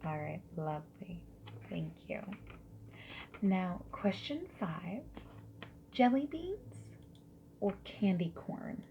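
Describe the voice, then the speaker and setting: A woman doing a soft-spoken ASMR roleplay as a friendly survey interviewer, slipping between whispers and quiet speech. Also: fairly low pitch, big pitch swings, creaky. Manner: friendly, gentle, unhurried questioning with soft acknowledgements. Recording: lo-fi muffled sound with background noise.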